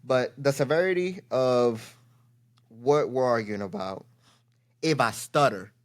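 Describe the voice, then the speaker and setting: A man's voice: mid-range voice, a little slow. A young man from Miami co-hosting a podcast, talking loosely and profanely, joking and asking probing questions. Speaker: podcast co-host. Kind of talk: casual podcast chat.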